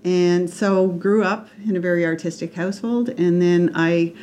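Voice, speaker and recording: Middle-aged canadian-born woman, low mellow voice, lots of restarts and ums, bit of hiss behind. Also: fairly even intonation, moderate pace. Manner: hesitant, many restarts and fillers. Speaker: guest artist. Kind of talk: casual interview. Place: radio studio.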